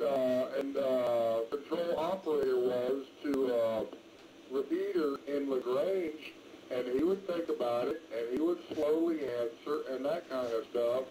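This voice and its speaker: A man's voice: medium pitch, a little slow. A man chatting casually over two-way radio in a folksy, rambling way as an amateur radio operator. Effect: two-way radio transmission. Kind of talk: casual ham radio chat. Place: mobile, in a pickup truck. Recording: noisy ham radio transmission.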